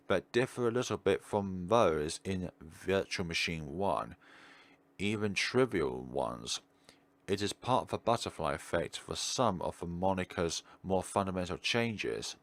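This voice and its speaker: A man giving casual playthrough commentary as a gaming video host, thinking aloud with questions and trailing pauses. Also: sits low. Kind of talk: casual playthrough commentary, partly reading on-screen text aloud.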